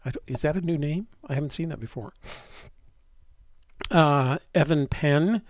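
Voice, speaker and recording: A man's voice: a little high, dry recording. Stuffy older American man, dry close sound. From New Mexico, United States. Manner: halting, often pausing mid-thought. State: stuffy, getting over a cold. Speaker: live stream host. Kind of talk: casual live chat, rambling.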